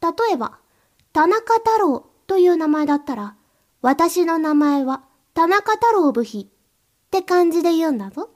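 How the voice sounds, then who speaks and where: A woman playing a very high-voiced, cold, domineering mistress. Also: sing-song, moderate pace, darkish tone. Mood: commanding. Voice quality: commanding, contemptuous, curt orders. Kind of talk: erotic second-person audio drama.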